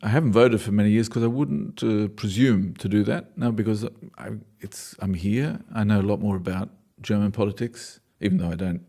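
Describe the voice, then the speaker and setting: Low-voiced thoughtful man from Australia. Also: a little fast, vocal fry, few pauses, dark tone. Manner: thoughtful, hesitant, restarts sentences. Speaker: dancer and choreographer, class leader. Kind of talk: reflective interview answers.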